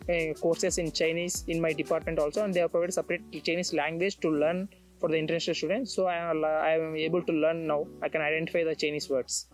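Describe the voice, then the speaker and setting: A man's voice: fairly high pitch, little pitch movement, fast talker, soft background music. A young man from India, an international student, answering interview questions outdoors on a campus, hesitant with many fillers and restarts. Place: outdoors on a tree-lined campus.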